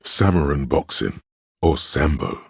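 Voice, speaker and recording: An actor voicing an erudite, worldly inner voice of knowledge in a video game, narrating facts in a measured, dry, scholarly way. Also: male voice, a bass voice, lively intonation, vocal fry, slightly rough, no room sound, full character voice. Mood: measured, knowing.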